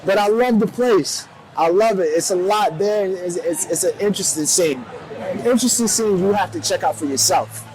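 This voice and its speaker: A man hosting a relaxed interview in a shisha lounge, talking in laid-back slang and peppering his lines with "man" and "definitely.". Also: sits high, normal speed, some chatter behind, slight background noise, poor audio. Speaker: host. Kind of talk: casual hip hop interview.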